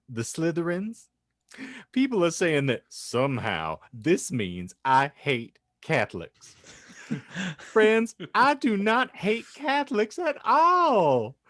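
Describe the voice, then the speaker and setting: A man's voice: fairly high pitch, fairly bright tone, no room sound, clean studio sound, voice pushed up, heavily acted. A man voicing a sweetly earnest, self-righteous devout housewife in a prim, gushing, emphatic manner for a comedic reading. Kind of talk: theatrical reading of fan fiction notes.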